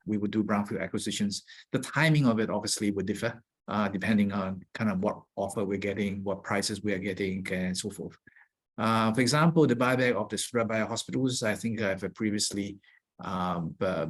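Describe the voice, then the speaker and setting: Low-voiced, slightly husky male executive. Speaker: company executive answering analyst questions. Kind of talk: earnings call question-and-answer.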